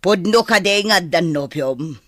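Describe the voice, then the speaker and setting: A woman's voice: on the low side, lively intonation, unhurried, crowd noise. A woman playing a haughty middle-aged mother in an Ilocano audio drama, stern and indignant as she questions people. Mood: stern, suspicious. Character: haughty, stern mother scolding gossips and her daughter.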